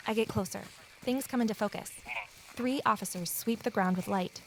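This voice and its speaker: A woman's voice: mid-range voice, moderate pace, noisy background, natural voice. An actress playing a guarded, lonely amateur sleuth who narrates her own mystery in an introspective audio drama style. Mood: uneasy.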